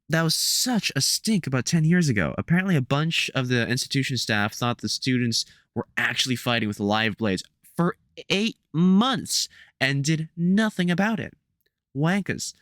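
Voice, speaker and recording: A man playing a gruff, protective veteran vampire hunter in an audio roleplay, talking hesitantly and with light sarcasm to the listener. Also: mid-range voice, a bit bright, no room sound, studio quality. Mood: amused, chatty.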